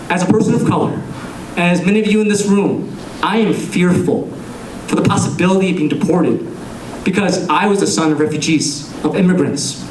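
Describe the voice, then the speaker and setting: Young male candidate, earnest and measured, slightly higher voice, recorded from a distance. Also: slight background noise. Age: young adult. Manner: earnest, measured, pausing between phrases. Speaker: political candidate. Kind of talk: campaign speech. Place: large room with an audience. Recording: echoey room, captured from a distance.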